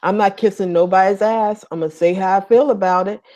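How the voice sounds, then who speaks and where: A woman's voice: mid-range voice, fairly even intonation, a little fast, mellow. A woman co-hosting a podcast in casual chat, blunt and outspoken with informal grammar. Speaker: podcast co-host. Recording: muffled lo-fi audio.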